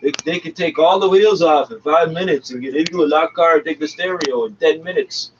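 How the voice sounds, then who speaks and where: Higher-pitched man, distant and muffled. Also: a little fast. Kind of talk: off-the-cuff remarks on a broadcast. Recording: dull, distant recording with faint noise.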